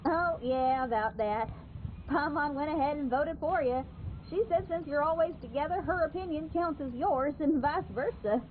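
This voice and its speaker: High-voiced friendly girl adventurer played by a woman. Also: normal speed, slight background noise, close to an everyday voice. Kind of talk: anime game voice acting. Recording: phone-like band, music behind.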